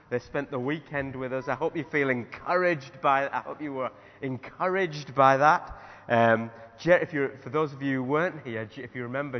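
Croaky pastor. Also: male voice, mid-range voice. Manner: rambling with restarts. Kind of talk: sermon. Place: church hall. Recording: dull sound in a reverberant hall.

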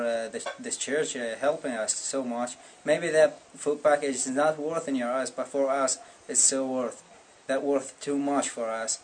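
A man with an Iraqi accent gives a personal testimony in simple, halting English. His pitch stays flat and even, and there is noticeable ambient noise on the recording. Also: rough recording. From Iraq. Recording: clear background ambient noise.

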